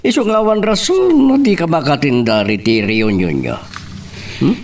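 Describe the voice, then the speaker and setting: A man's voice: a little high, big pitch swings, normal speed. A man playing a practical, firm father in an Ilocano drama, with an Ilocano accent. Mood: firm, placating. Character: father, practical, siding firmly with business. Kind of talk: Ilocano radio-style serial drama acting.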